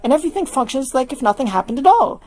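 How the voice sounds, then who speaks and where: A woman voicing a tired, sarcastic but caring young woman in a fan fiction reading, in a wry, animated way. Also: high voice, fast, voice pushed up. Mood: weary, wry.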